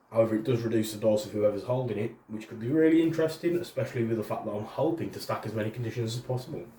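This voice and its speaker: A man hosting a tabletop game, his voice nasal and raspy because he is under the weather. He talks quickly and explains as he goes. The mic sits a little way off in an echoey room. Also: medium pitch, dark tone, slight background noise. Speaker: host presenting a tabletop battle report. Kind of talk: casual explanatory chat. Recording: roomy, distant mic.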